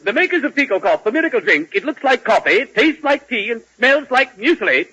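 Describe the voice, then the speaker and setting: Casting: gushing 1940s radio announcer, very high and bright, lively sales patter, old noisy recording. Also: male voice, brisk, breathy edge, heavily acted. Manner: gushing mock sales pitch, repeats slogans. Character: excitable radio announcer hawking a miracle drink. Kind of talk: 1940s radio comedy acting. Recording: noisy, dull vintage 1940s radio broadcast.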